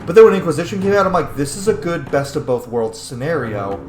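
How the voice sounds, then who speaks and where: A man's voice: fairly high pitch, sing-song, fast talker, roomy sound, music in the background. A man giving casual, opinionated gaming commentary, speaking bluntly and emphatically with frequent swearing. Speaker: gaming channel host. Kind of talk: casual let's-play commentary with opinionated rambling.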